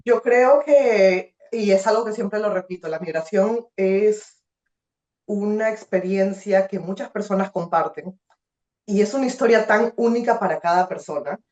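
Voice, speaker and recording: A young woman from Peru with a Peruvian accent tells her story in an interview. She talks in an expressive, animated way, with frequent "eh" and restarts. The sound is roomy and a little dull. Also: mid-range voice, measured pace. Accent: Peruvian Spanish. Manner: expressive, many fillers and restarts. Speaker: guest.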